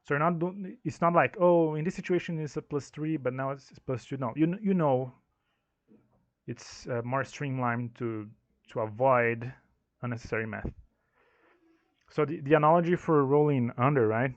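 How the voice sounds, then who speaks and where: A young man with a non-native English accent talks through his game rules in a loose, meandering way, restarting phrases as he thinks. His voice sits at an ordinary pitch. The recording is dull and lo-fi, with a dry, close sound. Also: normal speed, no echo. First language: not English. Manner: rambling, self-correcting, thinking aloud. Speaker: game designer explaining his tabletop system. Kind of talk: casual explanatory monologue.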